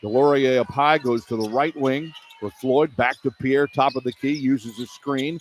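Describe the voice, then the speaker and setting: A man's voice: mid-range voice, normal speed. A man working as a radio play-by-play announcer, calling a live basketball game from an indoor arena. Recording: clear arena ambience noise. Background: arena ambience.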